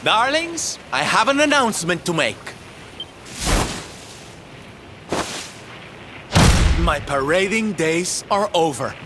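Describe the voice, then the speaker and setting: An actor playing a flamboyant, warm-hearted male troupe leader in a video game cutscene, with camp, affectionate delivery. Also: high-pitched, very animated, moderate pace, very noisy. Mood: grand, reassuring. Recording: music behind the voice.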